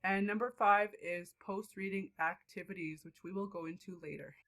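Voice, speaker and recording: A woman's voice: medium pitch, steady tone, normal speed, fairly bright tone. A young woman giving an informal presentation as a student teacher.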